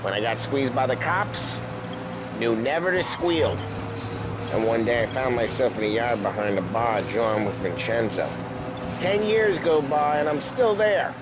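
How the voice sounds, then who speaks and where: A man's voice: medium pitch, expressive, measured pace, heavy background noise, lightly acted. Man playing a wry, streetwise seasoned mobster. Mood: wry, relaxed. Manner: breezy, teasing, streetwise. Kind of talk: cinematic game acting.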